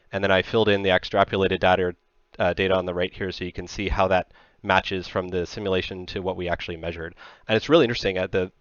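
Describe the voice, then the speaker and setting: A man presents technical material in a fairly low voice. He explains step by step in a measured, conversational way. The sound is dry and close, with a slightly muffled, lo-fi top end. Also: dry recording. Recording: slightly dull, band-limited sound.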